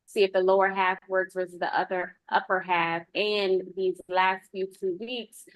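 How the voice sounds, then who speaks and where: Casting: woman, police lieutenant reporting to a community meeting, lively intonation on a slightly low voice, dry sound. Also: normal speed, dry recording, poor audio. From United States. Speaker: police lieutenant giving a public safety report. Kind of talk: informal spoken report at a public meeting.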